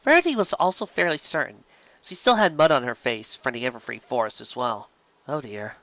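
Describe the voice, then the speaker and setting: A woman reading scripted fan fiction aloud as an expressive narrator. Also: extremely low pitch, brisk, no echo. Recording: slightly dull, lo-fi recording.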